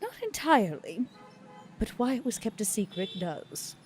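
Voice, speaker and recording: A woman voicing a composed, guarded female vampire, speaking slowly and in a measured way, often half-whispered. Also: fairly high pitch, big pitch swings, a little mellow, soft background music, pitched above the performer's own voice.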